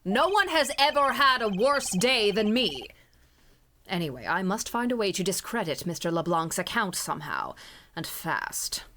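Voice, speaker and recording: A woman voicing a calm, polite murder suspect, speaking in a measured, formal way. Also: medium pitch, slight background noise.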